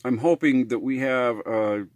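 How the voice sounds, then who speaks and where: A man's voice: medium pitch, measured pace, no echo. An American man talking casually in a how-to vlog, in a rambling, hesitant, folksy way. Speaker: RV living vlogger.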